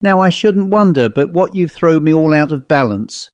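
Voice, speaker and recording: A man performs a testy, self-important old-fashioned storybook owl, pitched up from a normal speaking voice. The bird grumbles and complains in uneducated rustic speech, always indignant, in a very dry recording. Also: lively intonation, moderate pace, a little mellow, clean tone, dry recording, natural voice. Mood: indignant, bitter. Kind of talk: storybook character voice.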